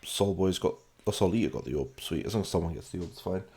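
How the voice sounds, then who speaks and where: A man's voice: low-pitched, lively intonation, fast, darkish tone, husky. A man with a British London accent giving casual, rambling game commentary as a streamer and chatting with viewers as he plays. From London, England. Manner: chatty, rambling, answering viewers while playing. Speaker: gaming streamer.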